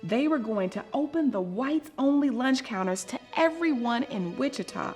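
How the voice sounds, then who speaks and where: A woman with a slightly high voice reads a story for children. Her delivery is expressive and deliberate, leaning on key words and pausing for effect. Music plays behind her. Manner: dramatic, deliberate emphasis with theatrical pauses. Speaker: storyteller reading a picture book aloud.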